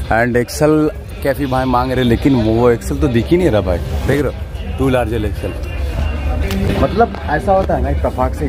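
A young man vlogging at a mall and on the street, talking straight to his viewers in an excitable, chatty way, phone held at arm's length. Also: mid-range voice. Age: young adult. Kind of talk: casual vlog chat to camera. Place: shopping mall, then streets and a bus.

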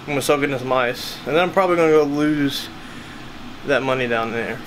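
A man's voice: medium pitch, brisk, slight background noise, poor audio. Rambling young man vlogging from a cabin. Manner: rambling, self-deprecating, filler-heavy. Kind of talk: casual vlog chat. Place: small cruise cabin. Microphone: camera held at arm's length.

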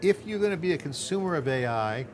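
A man's voice: on the high side, expressive, quick pace. Middle-aged guy hosting, rambling and restarting a lot, lapel mic, busy trading floor noise behind. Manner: loose, rambling, thinks aloud with restarts. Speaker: host. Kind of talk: conversational tech interview. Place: studio set on a stock exchange floor. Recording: clear background ambience.

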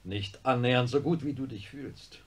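An actor playing a sober, skeptical professor of neurosurgery in a radio play, with a dry, measured, blunt manner. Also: male voice, mid-range voice, breathy edge. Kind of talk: German radio play acting.

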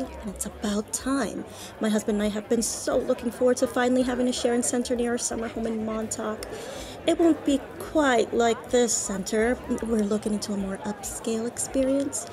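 A woman's voice: on the high side, close to an everyday voice. Woman acting a gushing, snobbish society wife. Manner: gushing, condescending, self-absorbed. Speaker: supporting character. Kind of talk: fiction podcast acting. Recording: busy crowd chatter behind.

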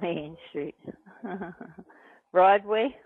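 A woman's voice: on the low side, extremely slow, husky. An older woman talking on the telephone in a plain-spoken, proper, questioning way. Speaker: prank call recipient. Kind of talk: unscripted phone conversation. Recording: narrow tinny phone line.

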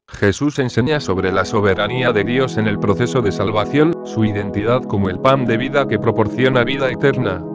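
Man, steady devotional narration, mid pitch, dull lo-fi sound, music underneath. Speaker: gospel reflection narrator.

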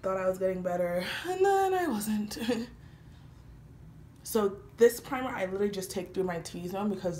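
A young woman with a lowish voice, a little stuffy from a cold, chatting easily as she works through her makeup. Her words ring around a small, echoey room, and a faint hiss sits underneath. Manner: chatty, relaxed, frequent fillers. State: slightly congested from a cold. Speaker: beauty vlogger. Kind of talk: casual makeup tutorial talk. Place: small indoor room, patterned wall with lights. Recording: roomy echo, faint background hiss.

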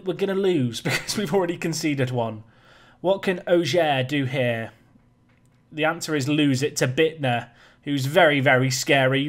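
A man giving chatty, joking live commentary over a football management game, his voice a little raspy today. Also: on the high side. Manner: chatty, joking, self-deprecating. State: slightly raspy voice. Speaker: gaming YouTuber.

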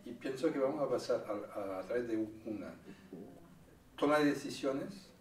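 A man's voice: mid-range voice, normal speed, slight background noise. A man interviewing guests, asking hesitant, trailing questions off camera. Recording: roomy, distant off-camera voice.

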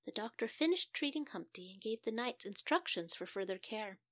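A woman's voice: a little high, animated, normal speed, fairly bright tone, no echo, clean studio sound. A woman narrating a scripted children's story as a storyteller.

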